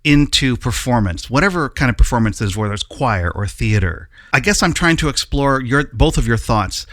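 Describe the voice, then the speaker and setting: A man's voice: medium pitch, animated, moderate pace, fairly bright tone, dry recording. Man interviewing, dry close sound. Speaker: interviewer, host. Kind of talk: conversational interview.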